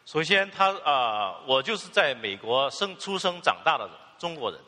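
Bright, lively sermon interpreter. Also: male voice, a little high, normal speed, big-room sound. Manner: fluent, with filler particles and restarts.

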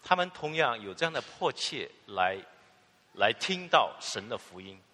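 Male sermon interpreter with a bright, animated voice, filler particles between phrases, echoing in a long-reverb church hall. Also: medium pitch, normal speed. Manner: fluent, with filler particles and restarts.

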